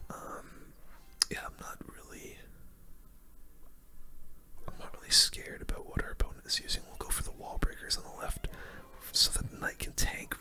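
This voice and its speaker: A gamer whispering softly and breathily into a close microphone, giving ASMR-style running commentary on play while musing aloud. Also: extremely high pitch. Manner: soft whispering, mild swearing, musing aloud. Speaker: gaming video creator.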